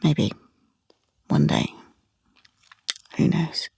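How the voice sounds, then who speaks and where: A woman's voice: drawn-out words, warm tone, no room sound, clean studio sound. An actress playing a wistful, wary young woman, musing in an audio play. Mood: wistful, undecided. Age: young adult. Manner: musing, wavering.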